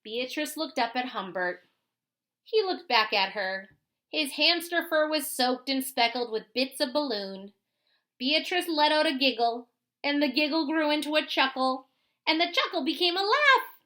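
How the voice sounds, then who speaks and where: A woman reads a children's picture book aloud in a high, bright voice. Her delivery is very lively and expressive, with dramatic emphasis and pauses for young listeners. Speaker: storybook reader.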